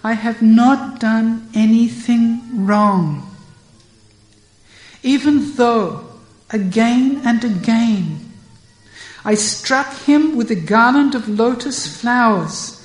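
A woman voicing a distressed young cowherd girl who pleads in a raised, quick voice, in a storytelling reading. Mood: earnest. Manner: high, fast, pleading complaints. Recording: echoey room.

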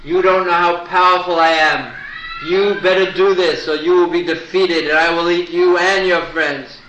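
A man voicing a boastful man-eating demon who speaks in slow, drawn-out threats, recorded in an echoey room. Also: high-pitched, fairly even intonation, a bit bright, highs cut off, slight background noise, voice pushed up. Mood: menacing.

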